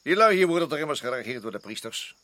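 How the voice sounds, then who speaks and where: An actor the playing a worried, hard-nosed garrison officer in a radio drama, urgent and emphatic, often repeating himself. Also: male voice, medium pitch, big pitch swings, fast talker, sharp tone. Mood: sceptical, urgent.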